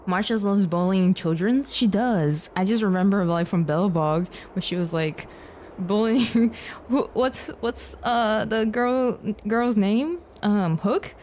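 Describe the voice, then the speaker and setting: A woman's voice: mid-range voice, takes it slowly, darkish tone, a little hiss or hum. A woman game streamer giving casual live commentary, musing aloud as she plays. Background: game music.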